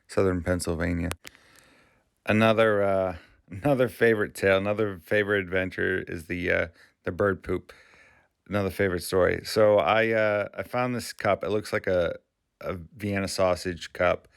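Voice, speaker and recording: Low gravelly-voiced man rambling casually. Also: no room sound, clean studio sound. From Maine, USA. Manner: rambling, halting, frequent restarts. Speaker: YouTube channel host. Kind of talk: casual vlog commentary.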